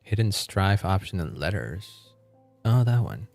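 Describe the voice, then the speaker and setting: A man's voice: sits low, sing-song, unhurried, vocal fry, a little mellow, soft background music. A man doing casual, chatty livestream commentary on a video game, reacting aloud and reading bits of text as he goes. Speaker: livestream host.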